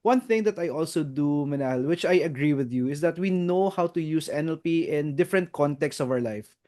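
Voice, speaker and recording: A man from the Philippines, hosting as an NLP master trainer, speaking in a confident, steady conversational way with "uh" pauses and "right?" tags. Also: fairly high pitch. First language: not English. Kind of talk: conversational interview.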